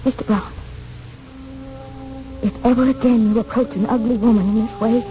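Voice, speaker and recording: An actress playing a lonely, plain young woman in 1940s radio drama, measured, theatrical, wistful and dignified. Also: a little high, fairly even intonation, natural voice. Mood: hurt, dignified. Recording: muffled vintage radio broadcast with music.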